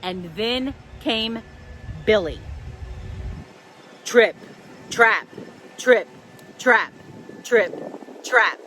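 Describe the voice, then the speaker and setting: A woman telling stories to young children outdoors in a park, with expressive, simple phrasing. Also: on the high side, extremely slow, pauses often. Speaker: children's storyteller, host. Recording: outdoors with faint wind noise.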